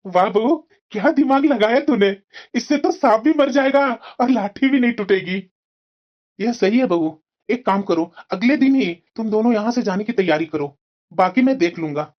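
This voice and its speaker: A weary, crafty old father-in-law from a cartoon acted by a woman, pitched fairly high for the part. He coaxes plaintively in a muffled, lo-fi recording. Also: lively intonation, moderate pace, lightly acted. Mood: relieved scheming. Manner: plaintive, coaxing. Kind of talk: Hindi moral-story cartoon acting. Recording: dull lo-fi sound.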